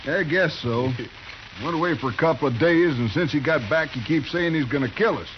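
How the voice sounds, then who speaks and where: A man's voice: on the high side, a little fast, faint music behind, close to an everyday voice. An actor playing a bullying drifter gunman in a radio western, blunt and irritable. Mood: irritated. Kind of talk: 1950s radio western acting. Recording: muffled, faintly hissy old radio recording.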